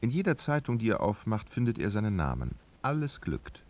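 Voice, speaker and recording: A man's voice: on the low side, wildly swooping pitch, brisk, a little mellow. A man narrating a literary radio drama, reading expressively and dramatically.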